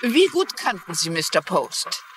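A woman's voice: lowish voice, sing-song, unhurried, noisy background. An actress playing an older woman crime novelist and sleuth in a dubbed TV mystery, polite but firm and full of questions. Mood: polite, probing. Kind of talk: dubbed TV crime drama acting.

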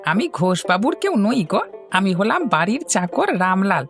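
A woman's voice: medium pitch, very animated, normal speed, gravelly, faint music behind. A woman voicing a loyal, chatty male servant in a village cartoon, deferential and folksy. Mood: eager, respectful. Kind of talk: cartoon dialogue.

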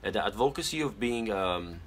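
A young man who grew up speaking Arabic talks casually into a phone held at arm's length in a small bedroom. His voice sits a little low, and his earnest advice comes out halting, full of "uh" and "um". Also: lively intonation, slight background noise. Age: young adult. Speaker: pageant titleholder on a live stream. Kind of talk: casual live-stream chat reading viewer comments. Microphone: phone camera at arm's length.

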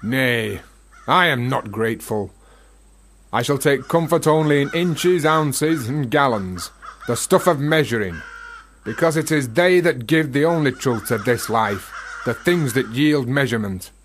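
An actor playing a bitter, obsessive gravedigger in a radio play, brooding and rhetorical, almost incantatory. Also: male voice, mid-range voice, expressive, a little slow, slight background noise, natural voice. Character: bitter, obsessive philosophical gravedigger, a working man. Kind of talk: radio play monologue acting.

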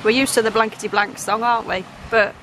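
A man's voice: sits high, fairly even intonation, fast talker. An Englishman filming a beach fishing trip, chatting casually and chuckling as he talks to the angler. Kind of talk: casual running commentary. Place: open beach outdoors by the sea. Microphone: handheld camera mic, speaker off-screen. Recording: loud wind buffeting the mic.

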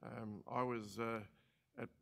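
An older Australian man chairing a council meeting as administrator, speaking in a halting way with many fillers and restarts, into a desk mic in a large council chamber. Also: medium pitch, little pitch movement, creaky, a bit bright. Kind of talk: formal meeting remarks.

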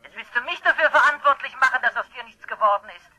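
A woman's voice: high-pitched, quick pace, sharp tone, full character voice, low-quality recording. An actress playing a cold, indignant middle-aged mother in a German crime radio play, curt and reproachful, over a telephone.

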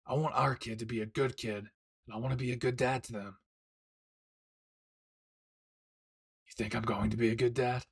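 A man acts out a brash young anime husband with a husky voice. He teases and reassures his wife tenderly, close and intimate, as in a romantic audio roleplay. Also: medium pitch, steady tone, quick pace. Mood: warm, reflective. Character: brash, devoted young husband, excited father-to-be.

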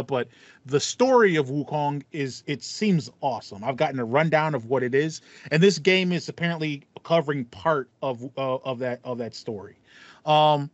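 A man in his thirties with a fairly high voice talks casually about games as a podcast co-host. He tends to repeat words while thinking aloud. The sound is dry and a little dull. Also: dry recording. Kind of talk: casual gaming podcast chat. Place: small room with acoustic foam.

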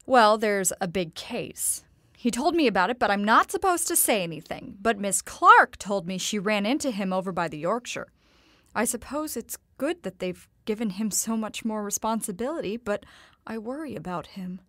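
A woman playing a devoted, gently worried mother in a nostalgic period audio drama, speaking in a genteel, tender and proper way. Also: mid-range voice, lively intonation, normal speed, dry recording, studio quality, close to an everyday voice.

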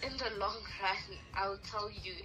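A young woman in a casual phone conversation, heard through a phone speaker, hedging but gently firm. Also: medium pitch, a little slow, soft background music, poor audio. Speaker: caller on the other end of a phone call. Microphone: phone speaker picked up by camera.

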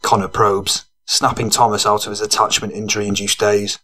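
A man narrates a gritty audiobook. His pitch is a little low, and he reads scripted prose as the storyteller. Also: little pitch movement, moderate pace, bright, forceful tone.

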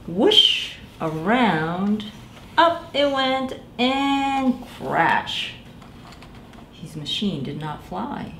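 A woman's voice: medium pitch, drawn-out words. A woman in her thirties, a librarian, reading a picture book aloud to children and doing the characters' voices. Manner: animated, voices characters, addresses children directly. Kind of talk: children's storytime read-aloud. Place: small library room indoors. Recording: echoey room with faint background noise.

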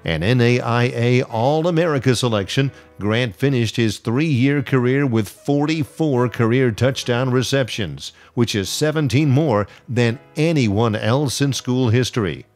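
A man giving scripted, measured voice-over narration full of statistics. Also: medium pitch, a little mellow, soft background music.

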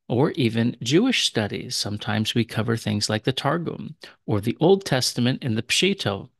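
A man's voice: mid-range voice, vocal fry, a bit bright, no room sound, clean studio sound. A man teaching a recorded language lesson, explaining in a chatty way. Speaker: teacher of Aramaic.

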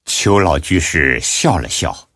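A man with a deep, husky voice giving solemn, measured and expressive scripted narration of a Buddhist audio drama. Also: dry recording, studio quality.

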